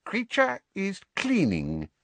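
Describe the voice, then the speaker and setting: A man's voice: high voice, wildly swooping pitch, takes it slowly, gravelly, a bit bright, no room sound, voice pushed up, heavily acted. A man voicing an old resentful male house-elf servant in an audiobook, muttering servile words and venomous asides. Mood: servile, evasive. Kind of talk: audiobook character voice.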